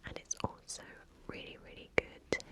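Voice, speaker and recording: A woman whispering a sponsor message in ASMR style, as the creator of the video, entirely in soft unvoiced breath. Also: moderate pace.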